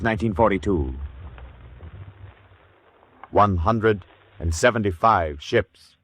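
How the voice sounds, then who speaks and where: Declamatory male narrator with swinging pitch. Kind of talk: dramatic scripted narration.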